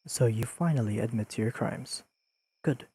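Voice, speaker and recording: A man playing a deadpan, pedantic but secretly fond young friend in an intimate audio roleplay, speaking in precise, dryly teasing sentences to the listener. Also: mid-range voice, moderate pace, husky, no echo, low-quality recording. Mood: mock-stern teasing. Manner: deadpan, precise, formal wording with dry teasing.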